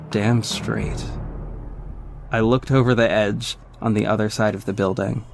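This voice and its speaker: A man's voice: fairly low pitch, gravelly. A man playing a confident young vigilante who narrates his own exploits in a wry, smug way in an audio drama. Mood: confident, matter-of-fact. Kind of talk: scripted first-person audio drama narration.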